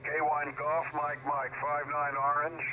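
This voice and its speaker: A man's voice: a little high, completely flat delivery, unhurried. A man heard through a radio receiver, giving clipped contest exchanges as an amateur operator. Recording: noisy crackly shortwave radio audio.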